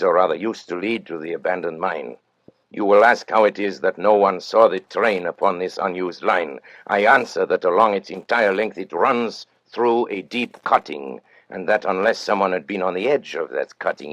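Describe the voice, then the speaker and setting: Calculating villain-narrator for vintage radio suspense: very deep, rough-edged husky voice, deliberate and menacingly formal delivery. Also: male voice, a bit bright, heavily acted, low-quality recording. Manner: deliberate, theatrical, formal storytelling. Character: cold, calculating male criminal mastermind confessing his crime. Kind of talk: old-time radio suspense drama narration.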